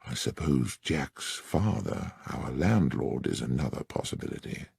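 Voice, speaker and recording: A man playing a detective's loyal gentleman companion with an English accent, courteous, earnest and measured. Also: rumbling low, animated, creaky, slightly rough, no echo, studio quality, full character voice. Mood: hushed, musing.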